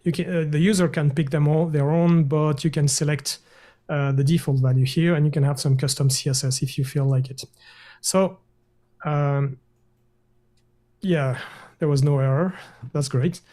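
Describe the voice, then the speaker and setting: A man, a guest developer, walking through a screen-share software demo over a video call, hesitant with many fillers and restarts. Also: on the high side, fairly even intonation, moderate pace.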